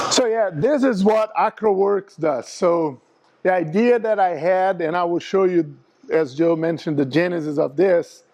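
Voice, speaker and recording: Brazilian-accented middle-aged man, slightly high voice, explaining slides to a club audience. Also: vocal fry, darkish tone. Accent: Brazilian Portuguese. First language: Portuguese. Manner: explanatory, asks audience for agreement. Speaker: aerospace engineer presenting to a flying club. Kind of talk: slide presentation talk.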